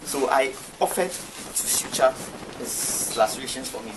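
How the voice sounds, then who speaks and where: Medic explaining amid loud noise. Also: male voice, medium pitch, expressive, unhurried. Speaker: hospital medical staff member. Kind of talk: spoken account in conversation. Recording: very loud ambient background noise.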